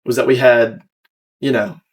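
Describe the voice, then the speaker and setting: A young American man talks casually as a podcast host. His delivery rambles as he thinks aloud and picks at his own work, with a middle-of-the-road male pitch. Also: fairly even intonation, moderate pace. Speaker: podcast host and video creator.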